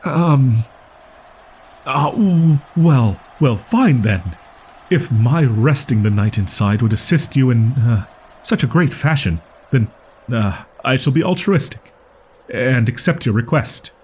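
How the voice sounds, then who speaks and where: A husky young wolf-boy, acted by a man for an audio roleplay. He speaks in haughty, old-fashioned phrases and insists on his pride. Defensive stammers show how easily flustered he is. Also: mid-range voice, lively intonation, slow pace, darkish tone. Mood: reluctant, flustered. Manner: haughty, stilted archaic diction, defensive stammering. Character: proud, flustered tsundere young wolf-boy with archaic speech. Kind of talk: roleplay audio drama monologue addressed to listener.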